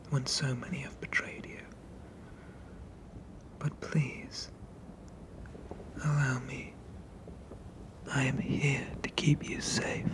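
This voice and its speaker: A man voicing a protective, possessive sea monster father in a hushed, soothing ASMR roleplay with formal phrasing. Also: mid-range voice, close to an everyday voice.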